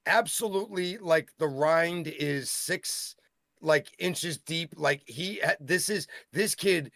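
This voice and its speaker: A man with a Boston accent co-hosting a casual wrestling review, talking in a rambling, excitable way and often cutting himself off. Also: a little high, takes it slowly, gravelly, no echo, studio quality. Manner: rambling, self-interrupting, excitable. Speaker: wrestling show co-host.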